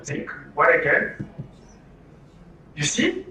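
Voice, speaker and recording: A man's voice: on the high side, huge pitch swings, very slow, sharp tone. A man with a Nigerian accent leading an interactive workshop lecture, quizzing listeners and repeating phrases in a didactic way. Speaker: trainer leading an orientation workshop for media workers. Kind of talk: interactive lecture with questions to the audience. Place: echoey room at a live workshop. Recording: roomy echo, distant mic, faint noise.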